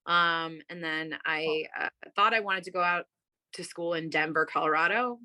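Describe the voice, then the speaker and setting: A woman in her thirties talks casually over a video call from a room at home. Her voice sits a little low, and she answers in an upbeat, rambling way, full of "um" and "you know.". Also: normal speed, bright, forceful tone. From Massachusetts, USA. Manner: chatty, many fillers, upbeat. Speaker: bookstore owner, guest.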